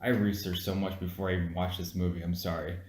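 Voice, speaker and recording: Low-pitched man explaining in an echoey room. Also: little pitch movement, fast talker, a little mellow, slight background noise. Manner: insistent, explaining, swearing. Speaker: amateur movie reviewer. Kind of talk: casual chat. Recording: roomy, echoing room sound.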